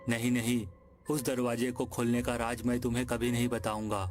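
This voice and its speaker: A man's voice: mid-range voice, level tone, moderate pace, voice pushed down, natural voice. A man voicing a defiant, honest young village man in a cartoon story, firm and warning. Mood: pleading, stubborn. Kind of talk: Hindi cartoon story acting. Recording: music behind the voice.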